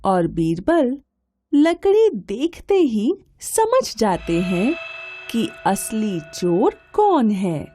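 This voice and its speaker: A woman's voice: on the high side, huge pitch swings, extremely slow, mellow, husky. A woman narrating a scripted children's story.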